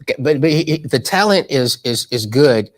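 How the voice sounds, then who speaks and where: A man talks about money as an interview guest, in an emphatic and motivational way. He has a preacher-like cadence and often throws in rhetorical questions. His voice sits in a middling pitch. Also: animated, moderate pace.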